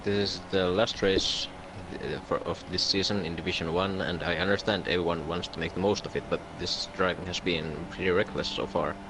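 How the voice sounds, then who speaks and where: A man co-commentating casually on an online race, calm and matter-of-fact. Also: low voice, a little fast, hardly any pauses. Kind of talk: casual live sim-racing commentary. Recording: audible background noise.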